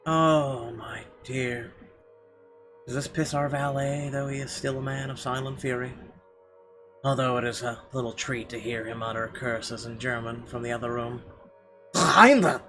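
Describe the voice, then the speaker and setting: A man's voice: medium pitch, fairly even intonation, unhurried, low-quality recording. A man doing casual gameplay commentary, reading story narration aloud and dropping blunt, slangy reactions. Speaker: let's player reading and reacting to a visual novel. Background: game music.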